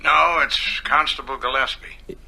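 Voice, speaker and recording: A man acting in a radio drama as a small-town constable, gravelly, halting and matter-of-fact. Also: mid-range voice, steady tone, sharp tone, heavily acted, low-quality recording. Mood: grim, halting. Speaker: actor.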